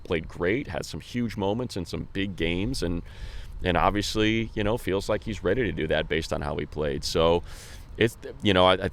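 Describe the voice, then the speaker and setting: A man co-hosting a sports show, giving casual, colloquial commentary and rambling as he thinks aloud. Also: sits low. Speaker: sports co-host and play-by-play announcer. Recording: faint background noise.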